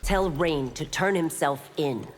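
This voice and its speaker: An actress playing a stern, principled chief constable in a fighting game, speaking formally and with authority in a reverberant space. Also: female voice, sits low, unhurried, slight background noise. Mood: stern, warning. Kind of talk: scripted fighting game dialogue.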